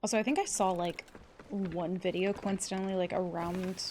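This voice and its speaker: A woman livestreaming a game, giving chatty, excitable commentary and swearing freely. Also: lowish voice, normal speed, noisy background. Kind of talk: casual gameplay commentary.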